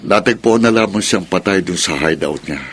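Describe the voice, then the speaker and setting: A man's voice: sits low, moderate pace, slightly rough. An actor playing a protective, lovelorn lawman with an earnest, solemn delivery in a radio drama. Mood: grave, protective. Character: protective male lawman, shy and lovelorn suitor. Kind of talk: horror radio drama acting.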